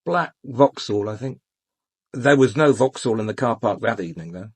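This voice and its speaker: Very husky, deferential doorman. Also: medium pitch, expressive, moderate pace, darkish tone. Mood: earnest, puzzled. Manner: hesitant, respectful. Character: deferential, puzzled doorman, earnest eyewitness. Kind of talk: radio drama acting.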